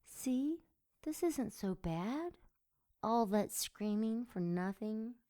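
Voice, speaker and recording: A woman playing a sardonic, seductive succubus demon in an audio drama, sultry and mocking in a deliberate way. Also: on the high side, animated, dark tone. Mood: smug teasing.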